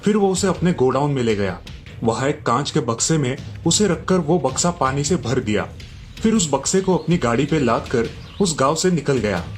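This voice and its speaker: A man's voice: medium pitch, big pitch swings, normal speed, slight background noise. A man narrating a scripted moral story as a storyteller.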